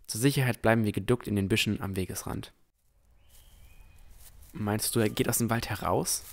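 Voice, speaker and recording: Ein Mann spielt einen vorsichtigen jungen Entdecker, der sein Fantasy-Abenteuer bedacht und ernsthaft in der Ich-Form erzählt. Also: male voice, on the low side, moderate pace, gravelly. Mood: wary. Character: cautious, thoughtful young male explorer narrating his adventure. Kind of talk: first-person scripted narration in fantasy audio drama.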